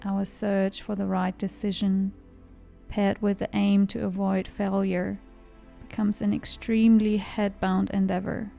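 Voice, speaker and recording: Female meditation guide: unhurried, calm, gravelly fry, soothing, music underneath. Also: medium pitch. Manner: calm, soothing, measured delivery. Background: background music.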